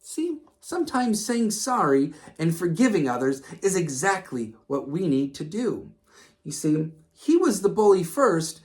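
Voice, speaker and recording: A woman hosting a children's read-aloud, narrating a story expressively and pausing for simple lessons. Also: low voice, a little mellow. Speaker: children's story reader and host.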